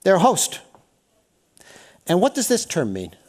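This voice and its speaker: Lively Canadian man teaching a room. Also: high-pitched, a little slow. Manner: energetic, engages the audience with questions. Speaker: workshop speaker. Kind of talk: lively interactive seminar talk. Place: lecture hall.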